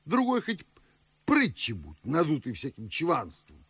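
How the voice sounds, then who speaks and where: An actor playing a pompous, garrulous older Moscow nobleman, declaiming husky-voiced in old-fashioned theatrical verse for a radio play. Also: a little high, huge pitch swings, measured pace, fairly bright tone, dry recording, exaggerated voice. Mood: boastful.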